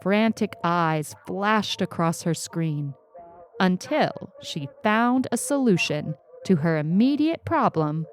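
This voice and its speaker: Slow-paced woman narrating a story. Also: lowish voice, animated. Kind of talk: scripted fiction narration. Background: faint music.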